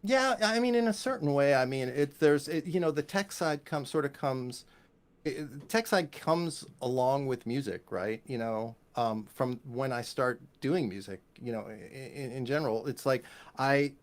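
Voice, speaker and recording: A man's voice: mid-range voice, normal speed. A man from the United States chatting casually as an interview guest, joking and rambling as he talks. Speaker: guest, composer and bass player.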